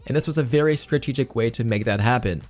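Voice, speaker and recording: A man's voice: medium pitch, fast, darkish tone. A man hosting a gaming news video, explaining updates in YouTube commentary style with repeated emphasis words. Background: background music.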